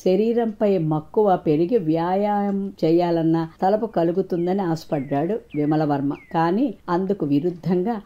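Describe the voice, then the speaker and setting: A woman's voice: on the low side, normal speed, lo-fi sound. A woman storyteller reading scripted moral folk tales as a narrator.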